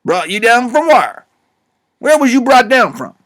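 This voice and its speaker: A man's voice: very high-pitched, very animated, measured pace, a bit bright, voice pushed up, heavily acted. A man voicing an old bald male con man and preacher with a folksy backwoods drawl in an audiobook. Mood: scornful. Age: older. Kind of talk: audiobook character voice.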